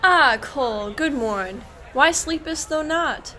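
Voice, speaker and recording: A woman acting an excitable, suspicious shepherd in a rhyming comic radio play, with theatrical exclamations. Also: high-pitched, slow, slight background noise, exaggerated voice. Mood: worried. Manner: theatrical, exclamatory rhymed verse. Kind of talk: verse radio play acting of a medieval comedy.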